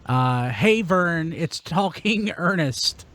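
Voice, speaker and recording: Man with lively, swinging pitch hosting. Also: slow pace. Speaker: podcast host reading out toy news. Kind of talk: casual panel chat.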